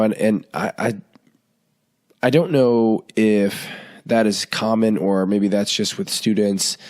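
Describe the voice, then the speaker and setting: A man's voice: fairly low pitch, darkish tone. A man in his thirties hosting a podcast, talking casually with plenty of fillers, his voice stuffy from a head cold. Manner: chatty, loose, many fillers and restarts. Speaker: podcast host.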